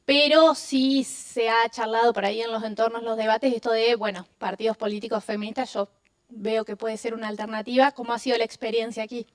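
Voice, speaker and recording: Una mujer joven de Argentina, con acento argentino, pregunta desde el público en un salón universitario. Su voz es algo aguda, y habla con cortesía y un poco de vacilación. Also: female voice, a little high, fairly even intonation, brisk. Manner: polite, slightly hesitant. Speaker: audience member asking a question. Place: university lecture hall.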